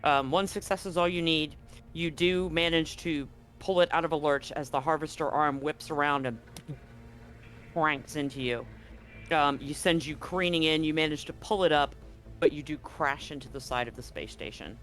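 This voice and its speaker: A man's voice: a little high, normal speed, fairly bright tone. A man working as game master, narrating a tabletop roleplaying game in a conversational, explanatory way. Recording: music playing under the voice. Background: background music.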